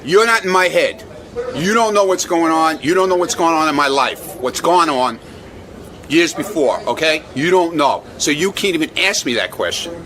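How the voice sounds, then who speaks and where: A man's voice: a little high, expressive, normal speed, a bit bright. A middle-aged man, a radio show staffer who argues defensively, swears and keeps repeating his denials in studio banter.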